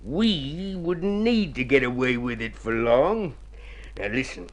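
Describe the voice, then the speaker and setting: A smooth, scheming crooked consul. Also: male voice, mid-range voice, animated, slow pace. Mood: coaxing, eager. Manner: wheedling, theatrical, self-satisfied, chuckling schemer. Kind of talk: golden age radio drama acting.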